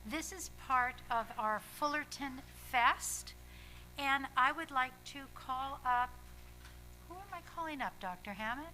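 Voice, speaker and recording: High, very bright-voiced woman chairing. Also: lively intonation, a little hiss or hum, rough recording. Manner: measured, pausing, slightly halting. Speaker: school board chair running the meeting. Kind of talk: formal meeting remarks. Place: school board meeting room.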